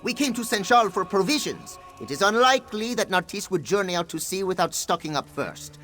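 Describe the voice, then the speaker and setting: A man's voice: high voice, expressive, fairly quick, sharp tone, faint music behind. A man voicing a boastful, theatrical cat-man pirate captain in a video game, honorable and given to speaking of himself in the third person. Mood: earnest, determined.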